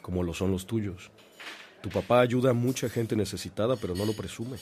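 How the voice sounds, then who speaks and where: A male actor plays a fatherly priest in a radio drama. His voice is low, patient and reassuring, with faint ambience around it. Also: fairly quick, a little mellow, slight background noise, close to an everyday voice.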